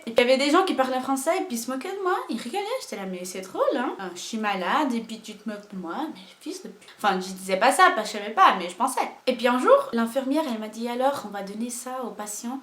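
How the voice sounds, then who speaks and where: A woman's voice: on the high side, fast, few pauses, roomy sound. Nervous, chatty Portuguese-accented young woman. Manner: nervous, self-mocking, animated. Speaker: vlogger. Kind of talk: casual storytelling vlog. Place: home room.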